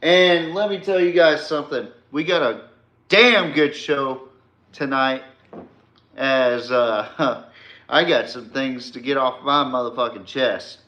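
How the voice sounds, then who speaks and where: Slow-paced man with a bright, forceful voice. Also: medium pitch. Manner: swears casually, emphatic, opinionated fan talk. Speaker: podcast host. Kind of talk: casual live-streamed sports podcast monologue.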